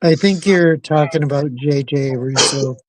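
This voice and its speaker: A man's voice: on the high side, unhurried, dry recording. A man in casual conversation giving offhand explanations in a rambling, hesitant, agreeable way.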